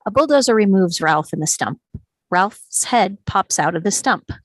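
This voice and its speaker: A woman's voice: medium pitch, expressive, normal speed, no echo. A woman narrating scripted stage directions at a screenplay table read.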